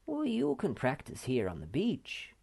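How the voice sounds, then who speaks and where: A man voicing a gentle young male wanderer in a storybook reading, given a high, lamenting voice. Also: huge pitch swings, unhurried, creaky, husky, heavily acted. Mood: eager. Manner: mournful, lamenting.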